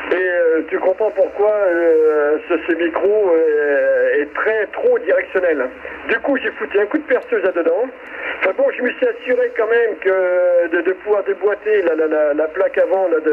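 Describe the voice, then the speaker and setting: Man, high voice, thin two-way radio sound, light noise, casual technical chat, halting explanations. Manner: explanatory, halting with repeated words. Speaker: amateur radio operator. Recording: tinny, noisy CB radio transmission.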